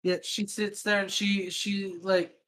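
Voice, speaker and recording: Bright-voiced, giggly woman running a game. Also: mid-range voice, little pitch movement, measured pace. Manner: giggly, often swearing. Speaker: game master. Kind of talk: casual improvised narration of an online tabletop game.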